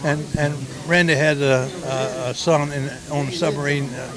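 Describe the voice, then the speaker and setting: A man's voice: fairly high pitch, fairly even intonation, moderate pace, crowd noise, poor audio. A man in his nineties and a veteran, who repeats himself and restarts while speaking in a crowded airport terminal. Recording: crowded terminal noise behind.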